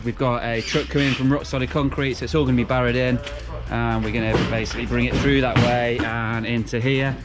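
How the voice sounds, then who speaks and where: A man's voice: mid-range voice, level tone, brisk, few pauses, loud music behind the voice. A man, a builder walking the site and explaining the work step by step in casual on-site commentary. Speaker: builder presenting a build vlog.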